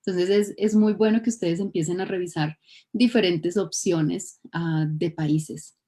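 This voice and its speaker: A woman with a low, mellow voice hosts an interview. She explains things calmly and steadily, introducing the topic and her guest. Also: unhurried. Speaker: host. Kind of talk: hosting an online interview.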